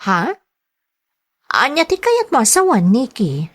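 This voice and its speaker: Puzzled Filipina caregiver, Ilocano accent, lively swooping intonation, hesitant, radio drama. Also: female voice, high voice, slow pace, full character voice.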